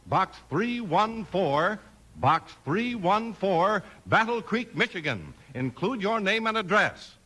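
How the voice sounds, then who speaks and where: A man with an American accent announcing in emphatic, deliberate 1950s radio style, reading scripted copy. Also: high-pitched, bright, forceful tone. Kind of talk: 1950s radio commercial and scripted story narration.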